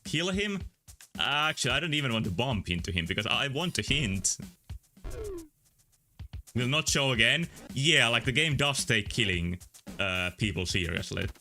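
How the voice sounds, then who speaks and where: Bright-voiced man streaming a game. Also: medium pitch, lively intonation, moderate pace, long pauses. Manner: rambling, thinking aloud with fillers. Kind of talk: casual game stream commentary. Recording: faint game music behind.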